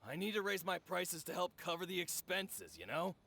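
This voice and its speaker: A man's voice: high voice, big pitch swings, moderate pace, vocal fry, bright, forceful tone, exaggerated voice. A man voicing a haggling, pushy festival stall vendor in an anime game. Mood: defensive.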